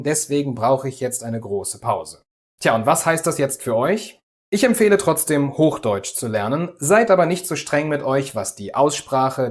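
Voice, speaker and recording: A man from the Düsseldorf area hosting a language lesson, explaining calmly and clearly in a scripted way. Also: medium pitch. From Düsseldorf area, Germany. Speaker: language teacher, host.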